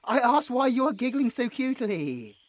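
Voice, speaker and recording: A man's voice: very high-pitched, sing-song, moderate pace. A British man playing a frightened, sarcastic, injured monster hunter in an audio roleplay, stammering as he placates his captor. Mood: panicked, stammering. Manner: stammering, pleading, with muttered sarcastic asides.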